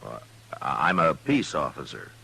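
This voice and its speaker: An actor playing a steady, gruff American frontier marshal, laconic and dry-witted, in an old-time radio western. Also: male voice, very deep voice, moderate pace, exaggerated voice. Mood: dry, preoccupied. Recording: noisy, humming vintage radio recording.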